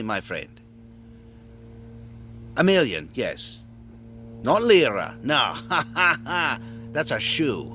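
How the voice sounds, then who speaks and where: A man's voice: mid-range voice, fairly bright tone, slight background noise. A man voicing a gossipy male leprechaun with a put-on Northern Irish lilt, folksy, rambling and chuckling.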